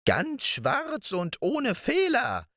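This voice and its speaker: A man's voice: very high-pitched, wildly swooping pitch, drawn-out words, a bit bright, no echo, studio quality, voice pushed up, exaggerated voice. A man voicing a wheedling old witch of the moor in a storybook reading, coaxing and sing-song.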